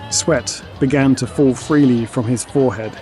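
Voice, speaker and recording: A man's voice: mid-range voice, moderate pace, mellow, faint music behind. A man reading scripted narration for an audio drama.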